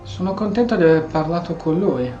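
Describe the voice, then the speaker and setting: A man's voice: on the high side, lively intonation, fast, dark tone, muffled recording, soft background music. An Italian man giving casual, playful gameplay commentary with joking asides as a streamer. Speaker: gaming streamer, host. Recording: roomy, echoey room sound.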